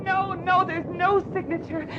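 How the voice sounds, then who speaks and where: A woman's voice: extremely high pitch, fairly even intonation, unhurried, a crowd in the background. A woman playing a frightened, breathless wife pleading on the telephone in a vintage radio drama. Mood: panicked. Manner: gasping, panicky, breathless. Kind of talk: 1940s radio acting. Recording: dull, noisy vintage radio recording.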